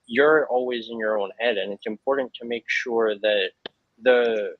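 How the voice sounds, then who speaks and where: A man's voice: medium pitch, measured pace, no room sound. Earnest man on a dull video call. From Hawaii. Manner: earnest, thoughtful, with frequent fillers. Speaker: panel guest. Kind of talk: reflective panel talk. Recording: dull lo-fi, dry sound.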